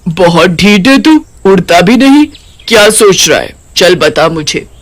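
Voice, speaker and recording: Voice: mid-range voice, lively intonation, unhurried. A performer voicing a hungry, blunt, boastful but secretly kind-hearted cartoon witch with brash, colloquial delivery. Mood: irritated. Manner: brash, taunting, colloquial scolding. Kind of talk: Hindi cartoon character voice.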